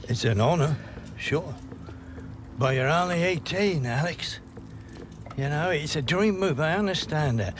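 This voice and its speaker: An actor playing an older grandfather who calmly warns his grandson against a risky move, in a video game cutscene. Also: a little high. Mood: cautious. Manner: measured, cautioning. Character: cautious older grandfather, protective and wary. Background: music.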